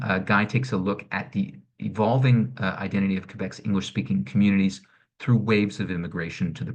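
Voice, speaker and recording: A man with a deep, low voice walks through arts programs in an online info session, speaking into a computer mic. He explains things in a measured way, with frequent small fillers as he goes. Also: husky. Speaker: director of programming presenting an arts network. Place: home or office, online session. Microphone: computer mic on a video call.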